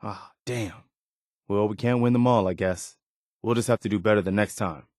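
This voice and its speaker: Game role: principled, weary militia officer, played by a male actor, sincere, plainspoken, lowish pitch, dry close recording. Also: normal speed, a little mellow, no room sound, natural voice. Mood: resigned, apologetic. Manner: sincere, plainspoken, morally upright. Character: earnest, steadfast militia officer, weary but principled man. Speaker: game companion character. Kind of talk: video game voice acting.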